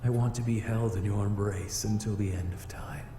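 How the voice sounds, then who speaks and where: A man's voice: on the low side, fairly even intonation, normal speed, warm tone, lightly acted. A man voicing a proud, formal flame demon in a visual novel, stiff and archaic in his diction and flustered by love. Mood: tender, solemn. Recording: echoey, music behind.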